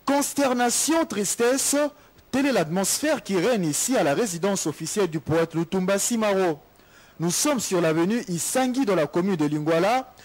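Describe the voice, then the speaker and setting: A man's voice: sits high, animated, moderate pace. A man delivering a solemn, scripted news report. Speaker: field reporter.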